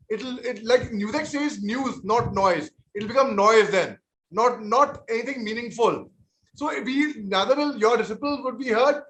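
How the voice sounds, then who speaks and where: Indian male panelist, 40s, high-pitched, sincere and peacemaking, echoey room. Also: sharp tone, a little hiss or hum. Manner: earnest, conciliatory, often repeats and restarts words. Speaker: army veteran panelist on a TV debate. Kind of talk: televised panel debate.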